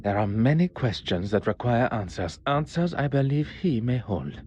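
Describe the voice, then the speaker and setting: An actor playing a self-assured detective, reasoning to himself in formal, deliberate phrases in a mystery game. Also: male voice, medium pitch, sing-song, darkish tone, close to an everyday voice. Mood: pensive. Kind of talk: inner monologue in a mystery game.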